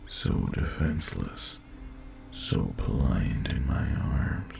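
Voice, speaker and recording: A man voicing an ancient, haughty and seductive male dragon in a fantasy romance audio roleplay, speaking formally in a breathy, gravelly murmur with teasing condescension. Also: very deep voice, a little mellow, exaggerated voice.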